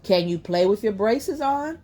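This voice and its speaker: A woman's voice: mid-range voice, lively intonation, a little slow, mellow, voice pushed up. A woman voicing a curious, playful little girl in a children's storybook. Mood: friendly, curious. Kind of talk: storybook character voice.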